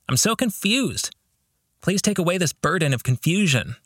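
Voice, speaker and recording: A man's voice: sits high, very animated, normal speed, fairly bright tone, dry recording, clean studio sound, heavily acted. A man voicing an anxious, weary young seminary student who prays in a pleading, troubled way, in a novel reading. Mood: confused, pleading. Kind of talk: audiobook-style novel reading.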